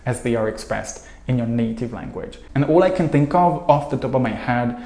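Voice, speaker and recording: A man, teaching English to camera in an earnest, steady way. His voice sits at a middling pitch. The lapel-mic sound carries a noticeable roomy echo from a small room at home. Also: fairly quick, a little hiss or hum. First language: Hungarian. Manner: earnest, steady, listing points in long runs. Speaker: English coach. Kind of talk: scripted video lesson to camera.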